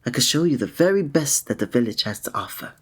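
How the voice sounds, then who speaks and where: Man playing a soft, breathy, gallant fantasy innkeeper. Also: mid-range voice, animated, fast talker. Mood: polite, attentive. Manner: formal, old-fashioned, gallant, gentle phrasing. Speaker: ASMR roleplay performer. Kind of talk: scripted romantic ASMR roleplay.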